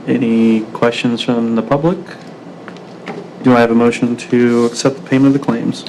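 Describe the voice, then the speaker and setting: A man's voice: mid-range voice, little pitch movement, moderate pace, darkish tone. A man speaking as mayor, running a public meeting in procedural but informal, hesitant language. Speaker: mayor chairing a city meeting. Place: indoor city council meeting room. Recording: noisy room recording of a public meeting.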